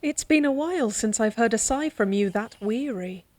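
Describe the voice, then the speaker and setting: High-voiced, devoted lady-in-waiting played by a woman. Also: expressive, moderate pace, no room sound, natural voice. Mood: gentle, fond. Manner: formal, gently teasing and coaxing. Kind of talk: fantasy audio drama acting.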